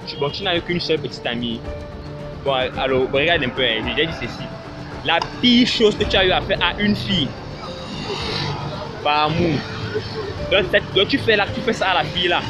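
A man with a Cameroonian French accent running a street interview, pressing and repeating his question. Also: high-pitched. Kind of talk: vox pop street interview. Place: roadside in a busy city street. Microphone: handheld phone held out to speakers. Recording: loud background music and traffic.

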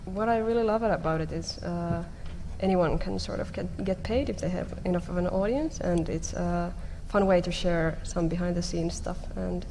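A woman's voice: lowish voice, normal speed, vocal fry, few pauses, warm tone. A young woman talking casually as a panelist on a stage, speaking into a table microphone. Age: young adult. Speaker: panelist, comic creator. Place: conference panel stage in a hall. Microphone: table microphone on a stand. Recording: echoey hall with some background noise.

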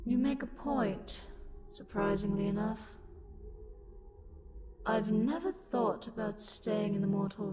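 A woman's voice: medium pitch, natural voice. A woman playing an angry, haughty, sassy luck demon in a roleplay audio drama, scornful, theatrical and exasperated. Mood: haughty, grudgingly amused.

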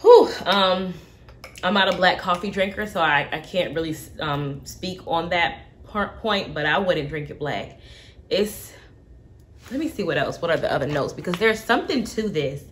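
A young woman vlogging from her home kitchen, talking through a coffee tasting in a relaxed way with plenty of fillers. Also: fairly low pitch, normal speed, slight background noise. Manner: relaxed, thinking aloud with many fillers. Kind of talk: casual tasting commentary.

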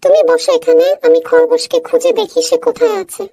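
A woman voicing a small animal race judge in a children's cartoon, announcing and gently moralizing. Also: extremely high pitch, little pitch movement, fast, dry recording. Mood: expectant.

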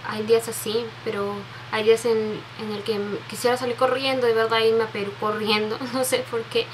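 Soft-spoken young woman. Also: a little high, flat delivery, a little fast, slight background noise, rough recording. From Peru. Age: 20s. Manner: soft, sentimental, many restarts and fillers, reads out questions. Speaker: beauty vlogger. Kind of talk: casual Q&A tag video. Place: bedroom with makeup shelves, indoors. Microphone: webcam at desk.

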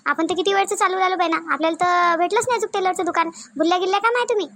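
A woman voicing chatty, bustling village women in a cartoon comedy, with a Varhadi Marathi accent and colloquial, animated chatter. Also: very high voice, rapid-fire. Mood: hurried. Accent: Varhadi Marathi from Vidarbha.

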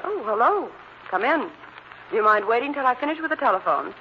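Vain, high-voiced young actress. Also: female voice, animated, brisk. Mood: excited, self-pleased. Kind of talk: 1940s radio drama acting. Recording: muffled, noisy old radio recording.

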